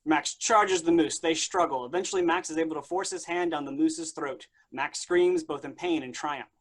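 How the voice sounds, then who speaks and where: A man's voice: fairly high pitch, normal speed. A man reading stage directions aloud as the narrator of a staged play reading.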